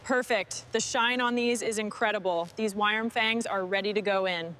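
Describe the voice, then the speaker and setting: A woman's voice: medium pitch, moderate pace, a bit bright, slight background noise. A woman working as a mechanic, giving short workshop instructions and commentary on a truck restoration.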